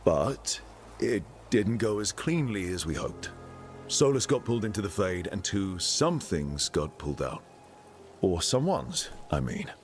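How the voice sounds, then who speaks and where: Serious protective hero guy in a fantasy game, low voice, measured, music behind. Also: sing-song. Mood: serious, concerned. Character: earnest, protective male adventurer. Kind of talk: dramatic game dialogue.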